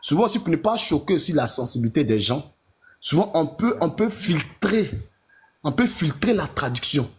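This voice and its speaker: A man making his case as a debate panelist. His voice is fairly high and swings widely in pitch. He stumbles, repeats words and restarts his sentences. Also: normal speed, slight background noise. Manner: lively, hesitant, repeats himself. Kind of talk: online debate talk.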